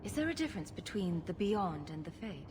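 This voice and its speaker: An actress playing a plain-spoken, wry rogue hero in a video game, speaking directly. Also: female voice, on the low side, sing-song, brisk. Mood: curious, direct. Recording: echoey, heavy noise behind.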